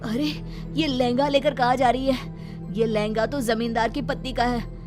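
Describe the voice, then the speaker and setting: A woman's voice: on the high side, steady tone, normal speed, over music, voice pushed up, lightly acted. A woman voicing a worried but crafty young washerman boy, talking anxiously to himself in a cartoon story. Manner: anxious, self-talking, exclamatory. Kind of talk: Hindi cartoon story acting.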